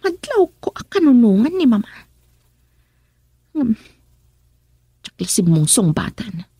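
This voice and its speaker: A woman's voice: fairly high pitch, sing-song, measured pace, dark tone, husky, no room sound, studio quality, exaggerated voice. An actress playing an upset, jealous young married woman in an Ilocano radio drama, with an Ilocano accent and an emotional, exasperated delivery. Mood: bitter, exasperated.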